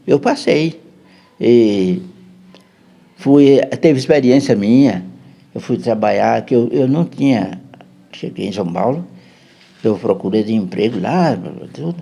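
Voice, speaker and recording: A man's voice: mid-range voice, lively intonation, measured pace, vocal fry, pauses often, warm tone. A man with a Brazilian Portuguese accent, a podcast guest telling a long, rambling story and often checking in with his listener. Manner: chatty, keeps checking in with "né?".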